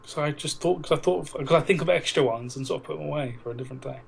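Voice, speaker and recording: A man's voice: on the high side, racing, creaky. A man co-hosting a podcast, chatting casually and bluntly about films, with frequent swearing. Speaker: podcast co-host. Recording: noisy amateur podcast recording.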